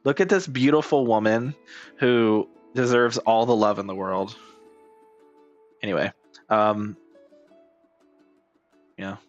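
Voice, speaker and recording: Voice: medium pitch, slow, pauses often, dull audio. A streamer giving casual, joking commentary on a story game, informal and slangy, often repeating phrases. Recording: faint game music behind.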